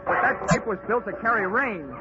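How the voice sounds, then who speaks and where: A man's voice: high-pitched, expressive, normal speed. An actor playing a tough, wisecracking insurance investigator who narrates his case in clipped 1940s radio detective style. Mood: tense, dramatic. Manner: wisecracking, hard-boiled, clipped. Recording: muffled 1940s radio recording, music behind.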